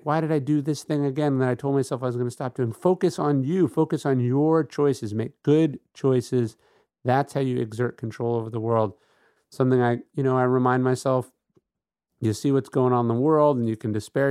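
A man's voice: medium pitch, darkish tone, clean tone. Middle aged guy doing a podcast monologue, earnest and conversational, says right? a lot, dry sound no echo. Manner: earnest, emphatic, often tags sentences with "right?". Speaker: podcast host. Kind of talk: conversational reflective monologue on philosophy.

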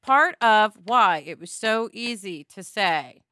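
A woman's voice: medium pitch, animated, slow, bright, forceful tone, no room sound, clean studio sound. A woman hosting a podcast, talking casually in an emphatic, candid way. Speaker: podcast host.

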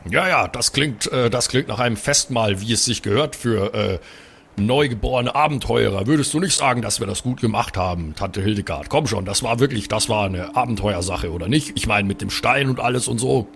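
A man's voice: lowish voice, a little fast, creaky, fairly bright tone. A man improvising in character in a role-play audio drama, musing in a hesitant, mock-earnest way. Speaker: role-play podcast player.

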